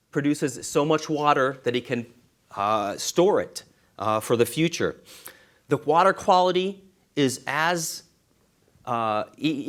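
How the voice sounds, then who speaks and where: An earnest man speaks at a university seminar, pitched squarely in the middle of the male range. He is a law professor from Israel, and he leans hard on the key numbers to drive them home. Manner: earnest, stresses key figures with emphasis.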